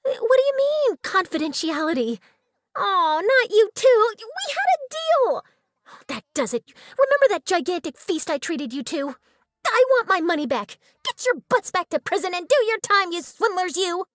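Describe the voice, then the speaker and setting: A bubbly, determined young newspaper reporter from a video game. Her voice sits high and swings widely with every emphatic, nosy turn of phrase. The sound is dry and close, with a chatty, theatrical delivery. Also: big pitch swings, normal speed, fairly bright tone, no room sound, full character voice. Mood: outraged. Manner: perky, dramatic, emphatic, chatty. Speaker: game character voice actor. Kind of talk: animated scripted game character voice lines.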